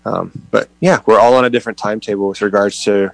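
A man in a group Bible discussion, speaking in a halting, reflective way and repeating words. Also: lowish voice, steady tone, vocal fry, no room sound, poor audio. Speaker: Bible study participant.

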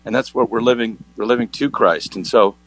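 A man's voice: medium pitch, brisk, no room sound, rough recording. A man in a group Bible discussion, speaking in an earnest, heartfelt and somewhat hesitant way. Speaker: Bible study participant.

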